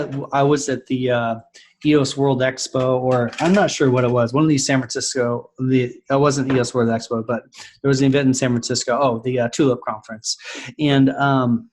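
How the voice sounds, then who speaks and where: A man hosting a podcast, speaking casually and haltingly with many pauses and restarts. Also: medium pitch.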